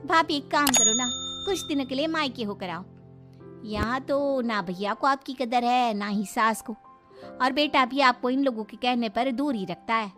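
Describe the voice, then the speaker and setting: A woman's voice: a little high, moderate pace, over music, close to an everyday voice. A woman playing a chatty, frank young married neighbour with a gossipy, emphatic manner in a cartoon moral story. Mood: sympathetic, coaxing.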